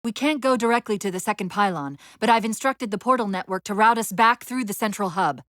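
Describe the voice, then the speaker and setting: A woman playing a brisk, wry, urgent military AI companion in a video game, giving crisp commands and tactical briefings. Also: mid-range voice, fairly bright tone, dry recording, clean studio sound, lightly acted. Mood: brisk, focused.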